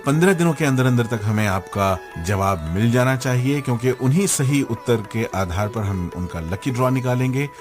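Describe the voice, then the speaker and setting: A man's voice: mid-range voice, normal speed, few pauses. A man hosting a radio show, presenting from a script and addressing the listeners directly. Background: music.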